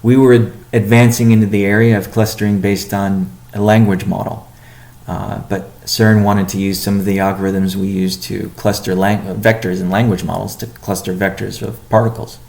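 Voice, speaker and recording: A man giving a company update on a conference call as its chief executive, speaking in a measured, halting way with deliberate pauses. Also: fairly low pitch, flat delivery, gravelly, a little mellow. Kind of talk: business conference call presentation.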